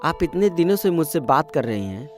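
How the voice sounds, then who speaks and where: A man's voice: on the high side, big pitch swings, normal speed. Earnest young suitor played by a man, music behind. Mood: earnest, pleading. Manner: polite, earnest. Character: earnest young orphan man, painter, shyly courting. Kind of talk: Hindi moral-story cartoon voice acting.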